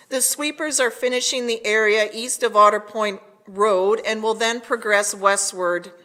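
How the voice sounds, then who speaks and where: A woman delivering a prepared public address as town mayor, measured and formal. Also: mid-range voice, bright, forceful tone.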